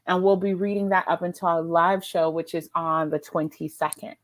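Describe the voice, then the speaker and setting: A woman's voice: fairly low pitch, fairly even intonation, moderate pace. A woman hosting a book readalong livestream in an informal, chatty way, talking to co-hosts and viewers. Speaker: livestream host.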